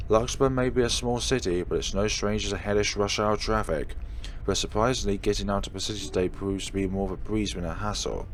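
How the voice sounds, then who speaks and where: A man playing a gentle, caring, quietly worried woman schoolteacher in a visual novel read-through. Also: sits low, lightly acted.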